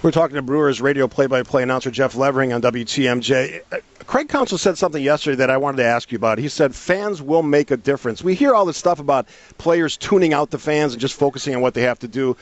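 A man's voice: mid-range voice, lively intonation, fast talker, no room sound. A man hosting a sports radio interview, setting up questions for his guest in a conversational way.

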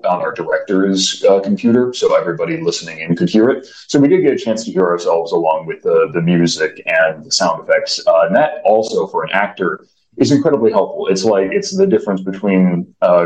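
A man with a radio tone, an actor giving articulate, measured answers as an interview guest. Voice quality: deep radio tone. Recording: roomy echo, dull muffled sound.

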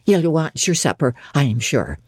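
Woman putting on a gruff old servant woman, low and husky, storybook. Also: very animated, moderate pace, a little mellow, no echo, studio quality, exaggerated voice. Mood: matter-of-fact. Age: older. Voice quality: gruff, plain-spoken. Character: blunt, suspicious old female servant.

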